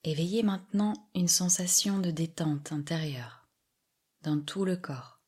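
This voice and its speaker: Very slow, husky-soft woman guiding relaxation. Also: on the low side. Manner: soft, breathy, soothing delivery with long pauses. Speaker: yoga nidra relaxation guide. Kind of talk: guided meditation, slow scripted instruction.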